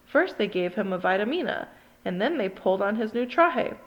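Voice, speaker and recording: A woman reading a children's picture book aloud in an expressive, sing-song read-along style. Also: mid-range voice, fairly quick, dull audio. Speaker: storybook reader.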